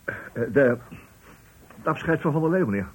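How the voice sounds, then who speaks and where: Raspy, swooping, servile foreman. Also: a little high, animated, moderate pace, a little mellow, rough recording. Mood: flustered. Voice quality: very husky, rough. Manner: hesitant, deferential, stammering. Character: servile, nervous male factory foreman, eager to please. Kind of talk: Dutch radio play acting.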